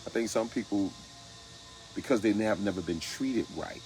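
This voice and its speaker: A man's voice: on the low side, moderate pace, a little mellow, faint music behind, poor audio. A man co-hosting a casual talk, speaking colloquially and animatedly, repeating phrases for emphasis. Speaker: co-host.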